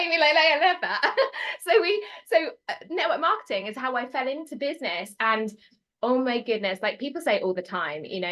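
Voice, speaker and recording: A British woman in her thirties, a guest on a podcast. She talks in a bubbly, quick way, filling her speech with likes and ums. Her voice sits a little high. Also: a bit bright. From United Kingdom. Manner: bubbly, quick, with frequent fillers and laughs. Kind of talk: casual podcast interview.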